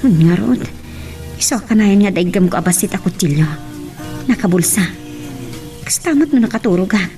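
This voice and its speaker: A woman's voice: mid-range voice, animated, normal speed, pauses often, mellow, soft background music, lightly acted. A woman with a Filipino accent acting an outspoken, aggrieved woman in a family radio drama. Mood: troubled, confiding.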